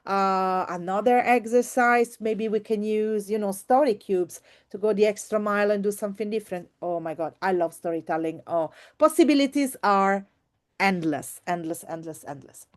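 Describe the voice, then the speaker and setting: A woman's voice: medium pitch, moderate pace. A woman teacher giving an informal online workshop, speaking expressively and effusively and repeating words for emphasis. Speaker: teacher and trainer presenting.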